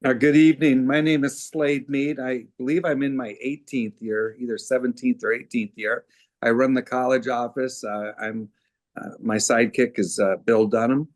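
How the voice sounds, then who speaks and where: A man's voice: mid-range voice, steady tone, normal speed. A middle-aged man, a teacher, introducing himself informally at a webinar. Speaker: college counselor and history teacher.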